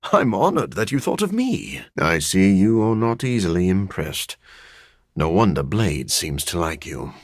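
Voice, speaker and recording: A brooding, learned male vampire from a video game. His low voice is husky and moves expressively up and down, with formal, articulate phrasing and dry wit. The sound is close and dry. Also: very animated, unhurried, no room sound, full character voice. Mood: thoughtful. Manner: articulate, formal, dryly witty. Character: sophisticated, bookish living vampire scientist, dry and brooding. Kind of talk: video game character voice lines.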